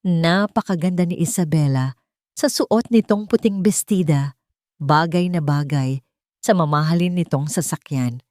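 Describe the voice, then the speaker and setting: A woman's voice: sits low, unhurried, darkish tone, dry recording, clean studio sound. A woman reading a scripted, dramatic love story as a storyteller. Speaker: storyteller, narrator.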